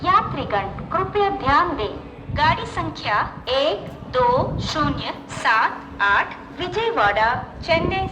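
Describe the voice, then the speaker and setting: A woman with an Indian accent making formal, measured scripted train announcements in set, pieced-together phrases over a railway station loudspeaker. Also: high voice. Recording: echoey station public-address, faint noise.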